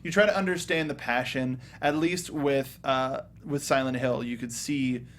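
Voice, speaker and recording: Man hosting, faint rumble behind him. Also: fairly even intonation, moderate pace, a bit bright. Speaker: host moderating a film review show. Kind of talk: casual panel talk.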